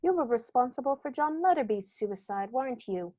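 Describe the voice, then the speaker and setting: A woman's voice: fairly high pitch, lively intonation, a little fast, dark tone, dull audio, a little hiss or hum, lightly acted. A woman playing a stern, authoritative male detective in an old-style radio play, speaking formally. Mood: accusing. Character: stern, commanding male detective, calm and righteous.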